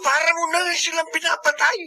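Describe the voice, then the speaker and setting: An earnest, high-pitched Filipino father. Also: level tone, brisk, over loud music. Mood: grieving, reproachful. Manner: earnest, reasoning. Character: earnest, pleading Filipino father reasoning with his wife. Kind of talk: radio drama acting.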